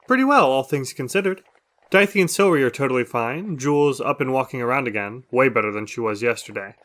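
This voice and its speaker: A male actor plays an upbeat man who hosts a radio show among zombie apocalypse survivors. His voice sits at a middle pitch, and he chats in an animated, casual, teasing way, in audio drama style. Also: fairly quick, lightly acted. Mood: reassuring.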